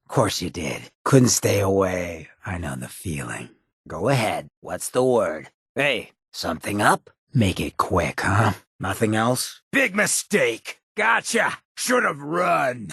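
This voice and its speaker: Super husky swaggering outlaw mayor from a video game, slangy, cocky, really swingy delivery, dry close recording. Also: male voice, lowish voice, big pitch swings, slow, fairly bright tone, dry recording, exaggerated voice. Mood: relaxed, teasing, then combative, taunting. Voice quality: raspy, gravelly, husky. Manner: slangy, cocky, streetwise drawl. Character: roguish, swaggering mayor of a lawless town, cynical charmer. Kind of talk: video game character dialogue.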